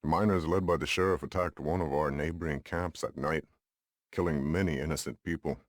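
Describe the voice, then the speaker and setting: An actor playing a wise, resolute older Lakota uncle in a video game, speaking gravely and deliberately. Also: male voice, very deep voice, steady tone, no room sound, clean studio sound. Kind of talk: scripted game cutscene acting.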